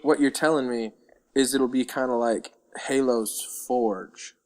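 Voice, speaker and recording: A man with an average-pitched voice chats casually as a podcast co-host. His manner is playful, full of short interjections and mock-grand quips. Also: fairly even intonation, a little slow. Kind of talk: casual chat.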